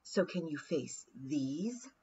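A woman playing a cold, scheming fairy queen who talks slowly and mockingly. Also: low-pitched, expressive, warm tone. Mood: menacing. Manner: slow, hissing, mocking. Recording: dull lo-fi recording.

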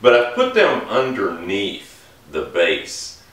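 A man's voice: on the low side, slow. Middle-aged man in an echoey workshop. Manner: relaxed, explains each step while working. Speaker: woodworking instructor. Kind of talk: casual demonstration while working. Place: large woodworking shop indoors. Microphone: camera mic from a distance. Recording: echoey workshop, distant mic.

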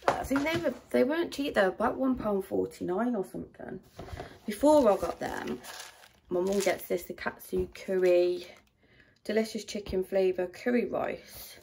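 A young woman chatting casually through a grocery haul in a rambling way, her voice low and a little rough from a dry throat. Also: moderate pace, background noise. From United Kingdom. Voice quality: low, gravelly voice. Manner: chatty and rambling, with many ums and likes. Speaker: vlogger. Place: at home.

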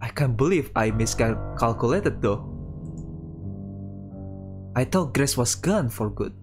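A man streams a game with faint guitar music behind him. His voice sits at an average pitch and he takes his time, at a slightly unhurried pace. He moves haltingly between reading story text aloud and casual commentary. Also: animated. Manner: halting, breaks off to react to the story.

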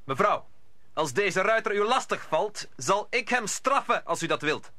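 A man's voice: high voice, lively intonation, a little slow, sharp tone, dry recording, heavily acted. An actor playing a bold, hot-headed young gallant swordsman in an old Dutch radio play. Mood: challenging.

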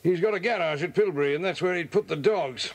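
A brisk, genial British police inspector for a children's audio drama. His voice sits high for a man. He talks in a hearty, polite and chatty way.

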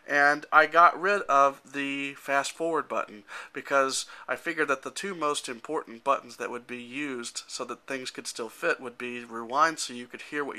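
A man in his thirties giving an informal, matter-of-fact demonstration as a software developer, explaining things step by step into a handheld camera. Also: mid-range voice. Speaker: software developer presenting a demo. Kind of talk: informal product demonstration narration. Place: indoor room at home. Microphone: handheld camera microphone.

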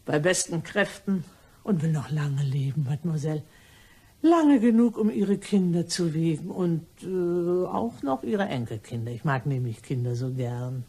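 A woman's voice: deep voice, warm tone, breathy edge, heavily acted. A woman playing a sly, chatty cleaning lady turned blackmailer in a crime radio play, ingratiating and mock-innocent in manner.

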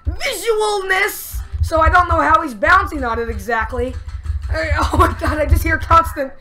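Bright high-voiced young gamer girl. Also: moderate pace. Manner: yelps and shrieks at the action, laughs a lot. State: strained, losing her voice. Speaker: let's play gamer. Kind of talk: excited gameplay commentary. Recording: faint game music behind.

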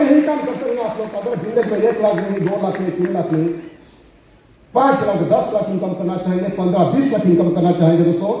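A man's voice: high voice, dark tone. A middle-aged man with an Odia accent gives a rousing motivational stage speech, mixing Hindi and English and calling for applause. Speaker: motivational speaker at a business seminar. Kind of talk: stage speech to a large audience. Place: large auditorium stage. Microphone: handheld mic heard from far off. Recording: echoey hall, distant mic, crowd behind.

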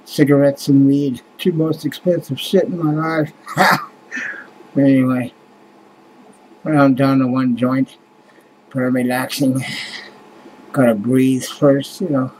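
A man's voice: mid-range voice, steady tone, slow, pauses often, a little mellow, poor audio. Middle aged canadian guy mumbling into his webcam, sighs a lot, cusses, faint ac hum behind. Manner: mumbling, sighing, swears often, trails off. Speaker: vlogger. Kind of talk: casual rambling vlog. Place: small cluttered room indoors at night. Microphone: webcam close to face.

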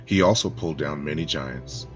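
A man's voice: a bass voice, normal speed, dull audio, soft background music. A man narrating a solemn, scripted church tribute video in a ceremonial manner. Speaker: church spokesman.

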